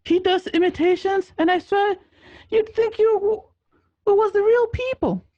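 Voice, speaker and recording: A woman playing a gushing, excitable gangster's girlfriend in an amateur radio drama. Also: extremely high pitch, normal speed, dry recording. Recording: dull lo-fi dry home recording.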